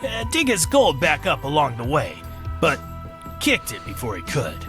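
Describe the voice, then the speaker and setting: A man's voice: on the high side, wildly swooping pitch, moderate pace, music in the background. A man playing a folksy, teasing old gas station attendant in a visual novel, telling tales. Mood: folksy, matter-of-fact. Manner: drawling storyteller, folksy and teasing.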